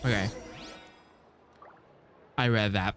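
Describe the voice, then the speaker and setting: Guy streaming a game, slow chill commentary, kinda hesitant n repeats himself, quiet music in back. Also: lowish voice, fairly even intonation. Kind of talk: casual playthrough commentary.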